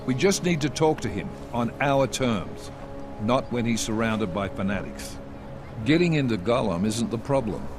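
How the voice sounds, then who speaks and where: An actor playing a stern, controlled intelligence chief in a video game, clipped and authoritative. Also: male voice, medium pitch, lively intonation, moderate pace, over music, lightly acted. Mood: grave, businesslike. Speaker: agency director briefing agents. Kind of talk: video game cutscene acting.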